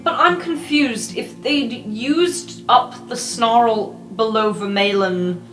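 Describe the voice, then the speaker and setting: A woman's voice: fairly high pitch, slow pace, fairly bright tone. A woman voicing a curious, direct student at a magic university in an improvised roleplay podcast, asking pointed questions. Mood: questioning. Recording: roomy sound with faint music.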